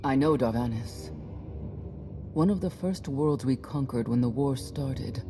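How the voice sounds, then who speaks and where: A woman's voice: very deep voice, measured pace, over music. A woman playing a seasoned, stern soldier in a game, clipped and matter-of-fact. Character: stern, seasoned female soldier, impatient and dutiful. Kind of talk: scripted game cutscene dialogue.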